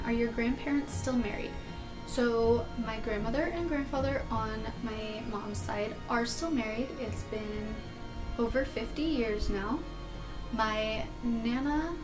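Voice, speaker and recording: A woman's voice: fairly high pitch, poor audio. A young American woman from California chatting casually through questions in a vlog, informal and self-deprecating, rambling as she answers. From California, United States. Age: young adult. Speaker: video blogger answering tag questions. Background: background music.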